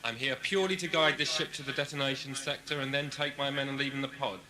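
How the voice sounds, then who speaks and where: A man's voice: mid-range voice, steady tone, quick pace, a crowd in the background, lightly acted. A man playing a weary, principled prison ship commander in an audio drama, speaking in a formal, dry, authoritative way. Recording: clearly noisy 1980s cassette, background ambience.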